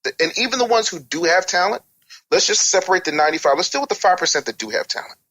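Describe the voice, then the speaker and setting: A man with a fairly high, bright and forceful voice talks as a guest in an interview. He is blunt and opinionated, delivering his points with slangy emphasis. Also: animated, quick pace, rough recording. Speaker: radio personality interviewed as a guest. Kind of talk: emphatic, candid interview talk.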